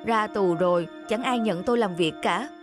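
A woman voicing an anxious, plaintive young man down on his luck in an animated moral story, with music behind. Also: medium pitch, normal speed, close to an everyday voice. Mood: bitter, grumbling.

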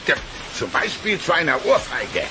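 A man playing a short-tempered, taunting cartoon ruffian with a gravelly voice in a children's audio play. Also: fairly high pitch, sing-song, moderate pace, background noise. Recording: old cassette, loud music behind.

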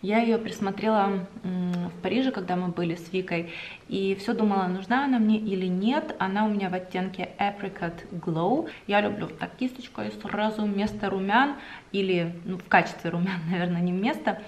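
A woman's voice: mid-range voice, slight background noise. Chatty young woman vlogging about makeup. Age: young adult. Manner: chatty, enthusiastic about cosmetics, drawn-out fillers. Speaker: beauty vlogger. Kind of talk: casual chat to camera. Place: home room indoors.

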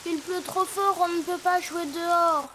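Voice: extremely high pitch, fairly even intonation, unhurried, sharp tone, a little hiss or hum, exaggerated voice. A performer voicing an eager little donkey child in a children's cartoon, playful and childlike. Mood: glum.

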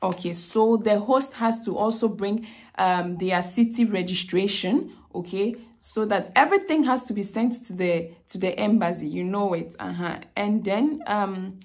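A woman's voice: mid-range voice, moderate pace, a little mellow. Ghanaian woman in her 30s talking straight to her phone in a small room, lots of ums and okays. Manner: repeats "okay?" often, many fillers and restarts. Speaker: vlogger giving visa advice. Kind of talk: casual explainer to camera. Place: small indoor room with plain wall.

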